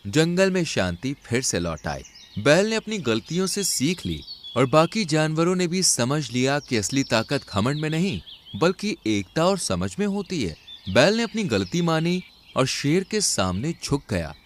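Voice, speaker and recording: A man's voice: a little high, sing-song, unhurried, slight background noise. A man narrating an animal fable in a dramatic storytelling style.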